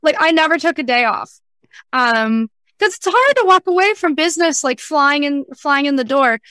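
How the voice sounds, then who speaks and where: A young woman chatting casually as an interview guest, talking in an animated, candid and emphatic way. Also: high-pitched, normal speed, dry recording. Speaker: podcast guest, freelance entrepreneur.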